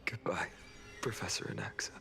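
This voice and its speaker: An actor playing a noble, gentle young lord in a video game, formal, measured and earnest. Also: male voice, whispered, close to an everyday voice. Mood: gentle, warm. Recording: music behind the voice.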